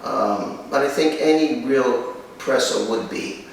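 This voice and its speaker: A man presenting and interviewing for a dog training video as host, with a firm, instructive manner and short, paused phrases. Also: medium pitch, gravelly. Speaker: kennel owner and host. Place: room in a home. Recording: echoey room, distant mic, faint noise.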